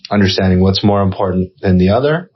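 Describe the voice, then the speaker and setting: A man's voice: low voice, fast, breathy edge, muffled recording. A man hosting a casual podcast interview, encouraging his guest and summing up what she says. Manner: encouraging, affirming, sums up what he hears. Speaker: podcast host.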